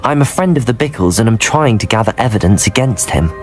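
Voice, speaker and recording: A composed, resolute student of magic played by a man speaks politely. The pitch moves freely, in game cutscene style, with light music behind. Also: expressive, fast talker, natural voice. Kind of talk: video game cutscene acting. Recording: music under the voice.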